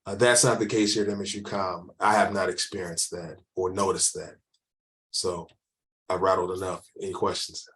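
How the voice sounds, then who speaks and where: A man working as an admissions counselor, answering questions in a relaxed webinar and often restarting his sentences. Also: sits low. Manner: rambling, restarts often, many fillers. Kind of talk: informal webinar Q&A.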